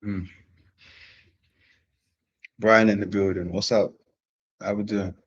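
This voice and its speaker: A man hosting a live-streamed chat with a husky, somewhat low voice. He is laid-back, loops back on his own phrases and tosses out slangy greetings. The sound is dull and lo-fi. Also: little pitch movement, takes it slowly. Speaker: host of a live-streamed panel. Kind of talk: casual chat, greeting viewers.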